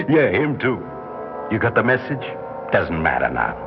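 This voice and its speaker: An American actor playing a bitter, mocking cop killer on death row in 1960s radio drama, with a tough, slangy, sneering delivery. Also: male voice, fairly low pitch, wildly swooping pitch, measured pace, exaggerated voice. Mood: mocking, unhinged. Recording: dull 1960s radio recording, music behind.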